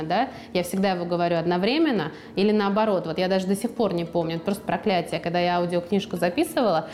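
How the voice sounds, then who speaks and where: A woman guest on a studio podcast, talking in a lively, chatty way and now and then acting out what people said to her. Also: medium pitch. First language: Russian. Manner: lively, chatty, acts out quoted speech. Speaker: guest author. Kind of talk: podcast conversation.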